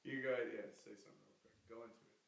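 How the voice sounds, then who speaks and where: A man with a deep, bassy voice, co-hosting a casual stream chat in a loose, joking way. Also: fairly even intonation, very fast, vocal fry. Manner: loose, joking, talks about mic setup. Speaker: stream co-host.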